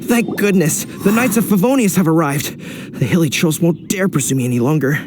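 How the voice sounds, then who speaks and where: A man's voice: on the high side, a little fast, heavy background noise, natural voice. A man voicing a frightened cart merchant in a video game who has just outrun his attackers, breathless and shaken. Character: frightened male cart merchant, relieved to be rescued.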